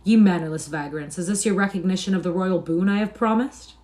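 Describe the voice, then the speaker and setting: A woman's voice: on the low side, a little fast, a little mellow, lightly acted. A woman voicing a proud young boy king in a storybook, with dignified, archaic royal speech. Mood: sharp indignation. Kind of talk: storybook character reading.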